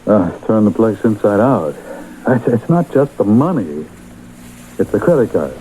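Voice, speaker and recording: An actor in a radio drama playing a brisk, impatient businessman with a curt, self-important manner. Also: on the low side, sing-song, mellow. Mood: impatient, fretful. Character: brisk, impatient businessman, health club customer. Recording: muffled old radio broadcast, faint noise.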